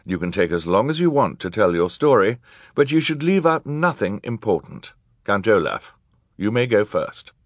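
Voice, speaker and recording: A man's voice: on the low side, sing-song, moderate pace, no echo, studio quality, voice pushed up. A man voicing a female judge in an audiobook, formal and authoritative, stern yet kindly. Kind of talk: audiobook character acting.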